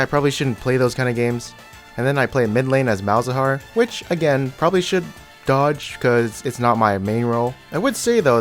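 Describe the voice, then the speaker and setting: Laid-back young man with a cold. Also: medium pitch. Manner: laid-back, self-critical, rambling. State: coming down with a cold. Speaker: gaming YouTuber. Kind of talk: casual gameplay commentary voice-over.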